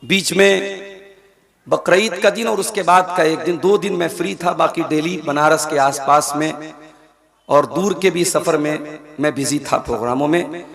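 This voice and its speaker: Hoarse, gravelly preacher man. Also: a little high. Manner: measured, earnest address to the crowd. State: hoarse, strained throat. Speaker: Islamic preacher. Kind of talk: religious speech at a night gathering. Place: outdoor village religious gathering at night. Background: crowd noise.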